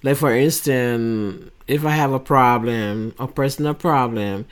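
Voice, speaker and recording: A woman's voice: rumbling low, measured pace. Older Liberian-accented woman, podcast guest. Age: 60s. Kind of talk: casual interview conversation. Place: home office with windows open.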